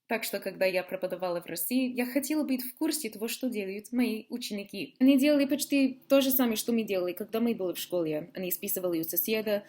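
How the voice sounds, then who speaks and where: Woman speaking wry, Russian. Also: mid-range voice. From United States. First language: English. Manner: wry, deadpan asides, switching into English. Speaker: vlogger. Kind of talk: casual vlog monologue.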